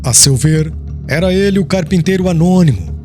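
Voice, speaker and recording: A man with a Brazilian accent giving a solemn, measured audiobook narration of literary prose, with phrases falling at the end. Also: on the high side. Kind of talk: scripted literary narration of a religious historical novel. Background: background music.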